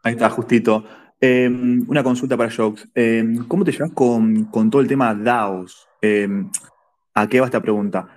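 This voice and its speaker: A man's voice: medium pitch, fairly even intonation, moderate pace, darkish tone, slight background noise. A man in the audience hesitantly asking the guest a question in a casual online audio chat. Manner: hesitant, many ems. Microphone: audio space call.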